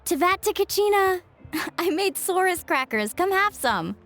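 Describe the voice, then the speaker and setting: An expressive, upbeat young woman from a video game, speaking. Her voice sits high and swings in melody as she fusses over her friends in a caring way. Faint music plays behind her. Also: measured pace, exaggerated voice. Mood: friendly, caring. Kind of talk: English video game voice acting.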